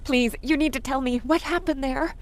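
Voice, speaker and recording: An actress playing a distressed, pleading wife in a video game. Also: female voice, high voice, normal speed, a little hiss or hum, lightly acted. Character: distraught, pleading wife searching for her missing husband.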